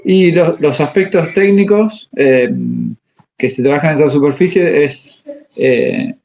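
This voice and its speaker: Halting coach, muffled. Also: male voice, on the high side, darkish tone. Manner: halting, pauses often to find words. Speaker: tennis coach. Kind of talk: interview answers. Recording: slightly muffled audio.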